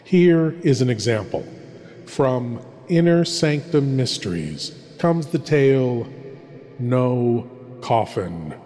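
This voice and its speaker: Man as slow, sinister horror host in cavernous reverb. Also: medium pitch, animated, warm tone. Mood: sinister, dramatic. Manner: ominous, deliberate, dramatic pauses. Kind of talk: scripted theatrical horror introduction.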